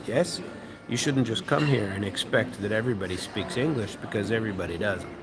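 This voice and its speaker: A man's voice: lowish voice, brisk, very noisy. An American man and radio host telling a rambling story with many fillers and restarts. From United States. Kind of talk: casual storytelling monologue. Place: restaurant or bar, eating while talking.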